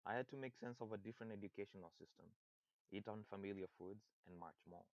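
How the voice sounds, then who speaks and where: A young Kenyan man with a very low, deep voice reads a personal speech, steady and earnest, restarting a word now and then. The sound is dry and a little muffled. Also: quick pace, no echo. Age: young adult. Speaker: student speaker.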